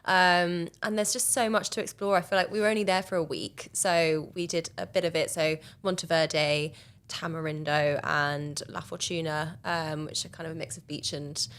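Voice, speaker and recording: A woman's voice: sits low, level tone, moderate pace, fairly bright tone. A young adult woman in a casual chat, bubbly and chatty, with lots of "um" and "like". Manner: bubbly, chatty, many fillers like um and like. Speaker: podcast guest.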